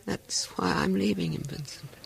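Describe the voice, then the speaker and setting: An actress playing an anguished wife in a 1970s radio drama, speaking in a pleading, emotional way. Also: female voice, low-pitched, huge pitch swings, moderate pace, creaky, full character voice. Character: anguished wife leaving her husband.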